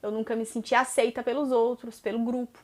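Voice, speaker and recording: A woman's voice: fairly high pitch, flat delivery, fairly quick, a little mellow. A Brazilian woman in her thirties with a Brazilian Portuguese accent, answering viewer questions in a chatty personal vlog, with many "né?" tags and some hesitant restarts. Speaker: vlogger.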